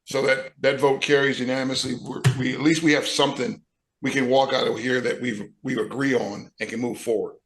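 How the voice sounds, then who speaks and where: A man chairing a committee meeting over a video call, running votes and next steps while losing his voice, with halting restarts. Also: mid-range voice, steady tone, fairly bright tone, roomy sound, low-quality recording. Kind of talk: online meeting, leading votes.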